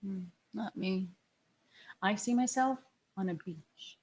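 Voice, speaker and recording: An actress in a screenplay table read playing a younger police detective, wry and skeptical, with a dry, probing manner. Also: female voice, mid-range voice, takes it slowly, a little mellow, breathy edge. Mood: wry, dreamy.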